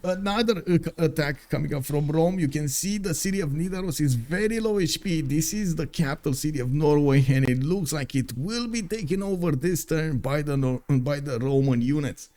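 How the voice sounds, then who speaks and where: Chatty male game caster, slightly high voice. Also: moderate pace, few pauses, mellow. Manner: chatty, greets viewers by name, laughs easily. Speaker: streamer casting a strategy game match. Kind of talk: live game commentary.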